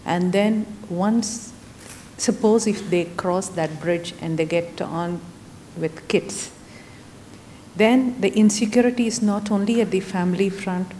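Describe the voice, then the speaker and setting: A woman from India, speaking as a panelist in a reflective, halting way. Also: fairly low pitch, mellow, big-room sound, slight background noise, rough recording. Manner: slow, reflective, halting with fillers. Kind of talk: panel discussion. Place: event hall.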